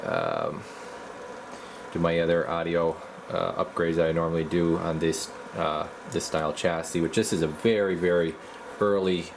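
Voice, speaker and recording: A man with a low voice talks casually through hands-on repair work as a technician. His delivery is halting and folksy, like someone thinking aloud, and there is noticeable background noise behind him. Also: lively intonation, vocal fry. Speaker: radio repair technician and video host.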